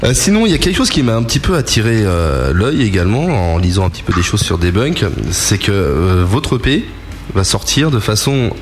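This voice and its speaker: A man's voice: on the low side, big pitch swings, fairly quick, background noise. A man hosting a radio show, interviewing guests in a casual, bantering style.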